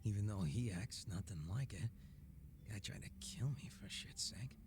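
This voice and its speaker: An actor playing a laid-back, sly gangster boss with a soft heart in a video game, speaking in a casual, teasing drawl. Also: male voice, on the low side, normal speed, noisy background, close to an everyday voice. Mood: soft, sincere. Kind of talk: video game cutscene acting.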